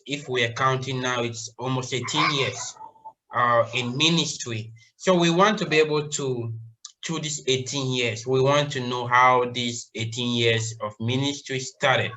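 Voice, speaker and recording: A man's voice: medium pitch, fairly even intonation, a little slow, sharp tone, low-quality recording. A man hosting an interview, effusive and repeating his exclamations of wonder. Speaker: interviewer, host. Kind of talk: interview questions.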